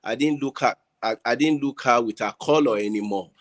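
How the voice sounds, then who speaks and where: A man with a Nigerian accent talking casually as a panel guest, haltingly repeating words as he searches for his phrasing. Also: mid-range voice. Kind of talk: casual discussion. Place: online group video call.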